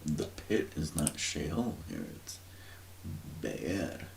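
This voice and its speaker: A man talks through scripture in a slow, rambling way, often stopping to search for the right word. His voice sits very low with a gravelly rasp. A faint hiss runs under the recording. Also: sing-song, darkish tone. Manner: rambling, searching for words, many fillers. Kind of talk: informal religious teaching, thinking aloud.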